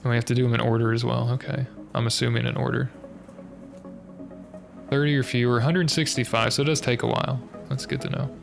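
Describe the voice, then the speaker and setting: A man's voice: mid-range voice, level tone, fairly quick, vocal fry, over music. A young man streaming a puzzle game with a stuffy, slightly nasal nose, muttering hesitantly as he works through the logic step by step. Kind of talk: thinking aloud while live-streaming a puzzle game.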